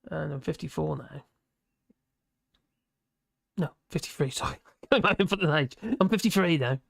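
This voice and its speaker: Gravelly, rambling man in his fifties. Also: a little high, a little slow, a bit bright, no room sound, studio quality. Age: 50s. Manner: chatty, chuckling, self-mocking asides. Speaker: livestream host reading chat. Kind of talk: casual rambling chat. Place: home office at his desk.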